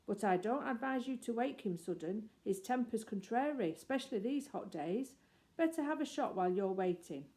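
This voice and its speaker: Storybook stallholder, played by a woman: working-class, coaxing, colloquial, excitable, raised pitch. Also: normal speed, close to an everyday voice. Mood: brisk, coaxing. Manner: colloquial, slangy, wheedling.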